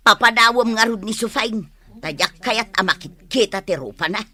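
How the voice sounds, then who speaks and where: A woman's voice: fairly high pitch, expressive, moderate pace, sharp tone. A woman with an Ilocano accent playing a spiteful, pushy older village mother in a radio comedy drama. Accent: Ilocano, northern Philippines. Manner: pushy, aggrieved.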